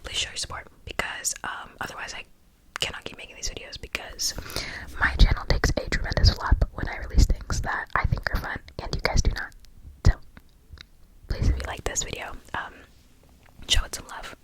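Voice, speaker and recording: A video creator speaking in a breathy whisper, casually reacting to stories in a gushing, slangy way with emphatic repetition and rambling asides. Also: moderate pace, rough recording. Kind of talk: whispered ASMR storytelling and reaction, casual chat.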